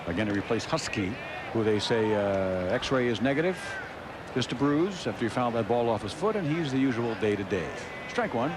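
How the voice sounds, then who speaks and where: A man's voice: mid-range voice, lively intonation, fairly quick. An American man calling baseball play-by-play on radio in a conversational, wry way, with clipped calls. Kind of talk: live radio baseball commentary. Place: open-air ballpark broadcast booth. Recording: very noisy outdoor ballpark broadcast.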